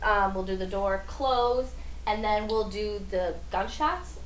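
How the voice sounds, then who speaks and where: Halting woman demonstrator, very noisy recording. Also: medium pitch. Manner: halting, explaining while handling props. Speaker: radio drama troupe demonstrator. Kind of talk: informal hands-on demonstration. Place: small radio studio, indoors. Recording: noisy off-mic room sound, prop clatter.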